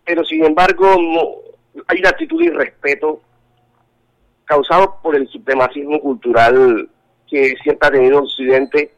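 A Colombian man talks over a thin, tinny phone line. His voice sits a little high for a man, and he speaks earnestly, stopping often and repeating words while he searches for the next one. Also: expressive, moderate pace. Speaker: interview guest. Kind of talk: phone interview. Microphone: telephone.

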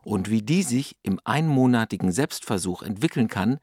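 A man narrating a radio feature in a measured, wry scripted style. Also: on the low side, expressive, a little mellow, breathy edge.

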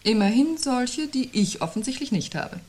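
An actress playing a sceptical, probing wife, unsettled yet dryly ironic, with a husky voice and measured, articulate delivery in a 1970s radio play. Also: female voice, on the high side, lively intonation, darkish tone.

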